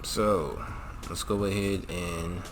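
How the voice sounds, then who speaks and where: A man's voice: sits low, steady tone, slow pace, music in the background. A man hosting a gaming channel, walking through a mod tutorial in a casual way with lots of fillers and a repeated "all right?".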